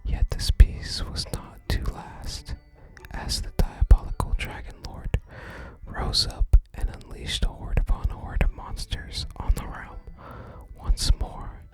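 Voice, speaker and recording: A person whispering softly while hosting an ASMR video game playthrough and reading game text aloud. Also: slow, rough recording. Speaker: host of a gaming ASMR channel. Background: game music.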